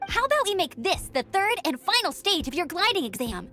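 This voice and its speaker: An upbeat young female game scout, very high-pitched and animated. She sounds confident, playful and encouraging, in anime-style game delivery, with faint music underneath. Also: a little fast, full character voice.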